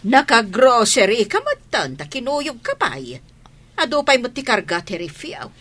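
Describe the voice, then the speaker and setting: A woman's voice: a little high, big pitch swings, measured pace, fairly bright tone, full character voice. An actress playing a scolding, tight-fisted mother in a radio comedy drama, with an Ilocano Filipino accent. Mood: grumbling. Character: scolding mother who refuses to lend money.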